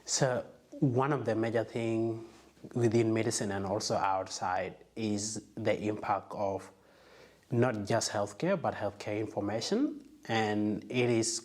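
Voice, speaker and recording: A young man from South Sudan speaks in a low voice, reflective and earnest. He tells his own story at an unhurried pace in a relaxed sit-down interview. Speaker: medical student, interviewee. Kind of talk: casual interview.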